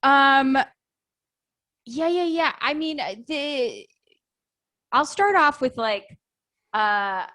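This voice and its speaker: A woman's voice: high voice, animated, very slow, long pauses, pushed and bright, dry recording. American woman chatting casually, very dry sound. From Illinois, United States. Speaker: podcast guest, actor. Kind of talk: casual anecdotal chat.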